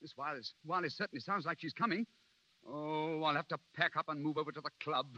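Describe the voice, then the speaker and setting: An actor playing a weary, exasperated husband in a 1930s radio drama, theatrical and dryly sardonic. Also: male voice, fairly high pitch, fast talker, pushed and bright. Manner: theatrical, dryly sardonic, flustered under pressure. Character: exasperated, weary husband divorcing his absent wife. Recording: dull vintage 1930s radio recording.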